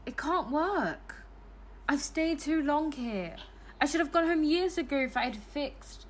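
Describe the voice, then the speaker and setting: A woman's voice: high voice, animated, unhurried, a little hiss or hum. A woman acting in an audio play as an earnest, troubled young woman hiding a secret. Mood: regretful, distressed.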